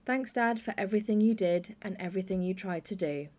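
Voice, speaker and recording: A woman's voice: mid-range voice, brisk. A woman hosting a podcast, reading a scripted introduction. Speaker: podcast host.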